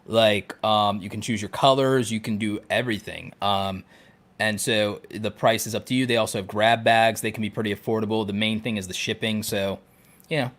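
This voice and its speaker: A man's voice: fairly low pitch, fairly even intonation, moderate pace, a bit bright. A man co-hosting a podcast in casual conversation, talking with jokey, nerdy enthusiasm. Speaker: podcast co-host.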